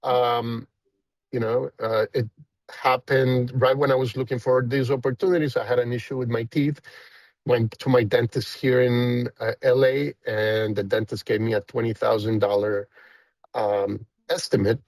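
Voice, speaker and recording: Man, fifties, Costa Rican accent, mid-pitched, casual rambling talk, dry close sound. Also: flat delivery, moderate pace, darkish tone, no echo. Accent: Costa Rican Spanish. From Costa Rica. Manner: rambling, many fillers and restarts. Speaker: guest, company founder. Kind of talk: casual interview chat.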